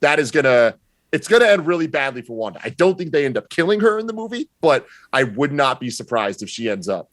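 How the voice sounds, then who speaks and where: A man talking casually as a podcast guest, explaining his opinions in a measured, reasoning way. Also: medium pitch, very animated, a bit bright, dry recording. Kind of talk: casual chat, opinion and analysis.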